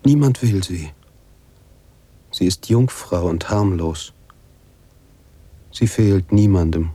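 An actor playing a brooding male writer who narrates his own story in a measured radio-play monologue. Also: low voice, dark tone, husky, dry recording, exaggerated voice. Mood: reflective, self-assured.

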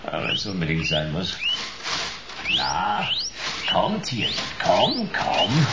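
An actor playing a gruff, reluctant private detective in a sci-fi radio play, talking in terse, colloquial grumbles. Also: male voice, deep voice, huge pitch swings, slow pace, very noisy, heavily acted. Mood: reluctant, wry.

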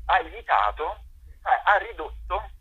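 A man, a playwright being interviewed, explaining thoughtfully and hesitantly, searching for words in a husky voice. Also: high-pitched, big pitch swings, measured pace. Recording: dull lo-fi radio interview line.

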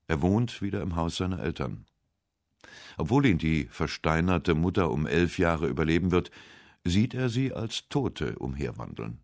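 A male narrator with an extremely deep voice reads a scripted radio essay. His delivery is measured and articulate, recorded in a very dry studio sound. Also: no room sound. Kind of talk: scripted literary biography narration.

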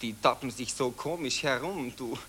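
An actor playing a callous, boastful young Austrian officer with a jaunty, slangy Viennese drawl in a 1940s German radio play. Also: male voice, mid-range voice, moderate pace, fairly bright tone, a little hiss or hum, lightly acted. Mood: blasé chatter. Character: callous, boastful young Austrian army officer, cheerfully chatting about war.

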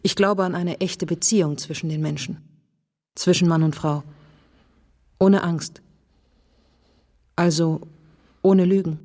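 An actress playing an earnest policewoman in a German radio drama, questioning in a deliberate, intimate and insistent way. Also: fairly low pitch, steady tone, warm tone. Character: earnest, probing policewoman challenging a wary man.

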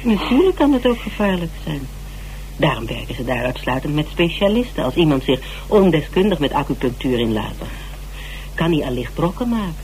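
A woman's voice: low voice, very animated, brisk, a little mellow. A shrewd, secretive woman in a radio drama. She sounds self-assured and probes while giving little away, over a faintly noisy recording. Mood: calm, explanatory.

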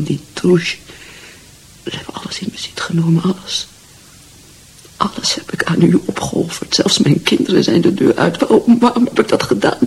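A woman's voice: on the low side, breathy edge. An actress in a radio drama playing a distraught, lovesick married mother, pleading and often near whispering. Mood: anguished, bitter.

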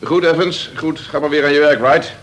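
An actor playing a stern, curt chief prison officer in a radio play, clipped and authoritative. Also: male voice, fairly high pitch, steady tone, moderate pace, fairly bright tone, close to an everyday voice. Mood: curt, watchful. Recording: echoey, slightly noisy radio recording.

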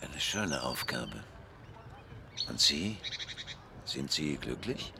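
An actor playing an urbane, inquisitive gentleman in a radio drama, courteous and teasing. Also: rumbling low, sing-song, very slow, gravelly, background noise, exaggerated voice. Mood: courteous, curious.

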